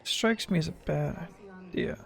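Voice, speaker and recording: A woman's voice: low voice, normal speed, creaky. A woman giving casual, flippant, joking commentary while streaming a video game. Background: game music.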